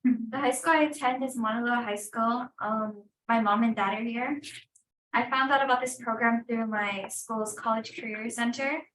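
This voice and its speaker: A teenage girl from Hawaii gives a plain, matter-of-fact introduction in a slightly high voice. She is far from the mic in an echoey room with faint noise. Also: steady tone, normal speed, a bit bright. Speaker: student. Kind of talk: self-introduction.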